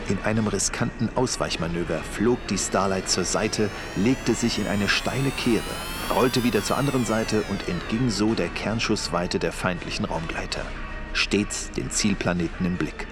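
A man's voice: fairly low pitch, normal speed, music in the background, natural voice. A man playing the solemn narrator of a sci-fi audio drama, reading scripted dramatic narration. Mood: solemn, suspenseful. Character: solemn male narrator of a space adventure.

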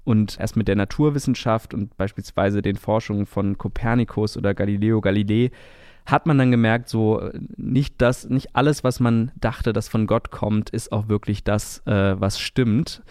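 A man's voice: fairly low pitch, fairly even intonation, normal speed, darkish tone, clean tone, dry recording, clean studio sound. A man co-hosting a podcast in a conversational style. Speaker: podcast co-host.

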